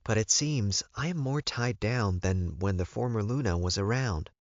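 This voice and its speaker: Earnest werewolf servant and son acted by a male narrator, anxious and pleading, lifted pitch, dry audiobook sound. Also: normal speed, no echo, muffled recording, close to an everyday voice. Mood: dutiful. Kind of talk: audiobook character dialogue.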